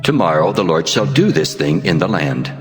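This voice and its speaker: Commanding divine being, deep, solemn, cavernous reverb, music underneath, dramatized scripture. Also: male voice, sing-song. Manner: solemn, authoritative, deliberate. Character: God, a commanding divine male voice giving orders.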